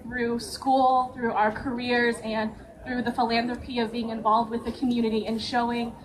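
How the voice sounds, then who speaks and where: A young woman, a guest speaker, telling her family's story at a community event in an earnest, steady way with frequent ums. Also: a little high, normal speed, crowd noise, poor audio. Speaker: nonprofit vice president, guest speaker. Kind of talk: personal speech at a community event.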